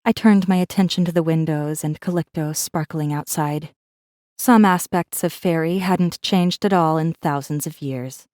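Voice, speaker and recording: A woman voices a defiant rebel heroine telling her own story in an audiobook. Her voice sits low and warm, with a controlled, introspective delivery. The sound is dry and close, and she stays guarded and wry. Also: normal speed, no echo, close to an everyday voice. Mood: brooding. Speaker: audiobook narrator. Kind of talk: first-person scripted narration, audiobook.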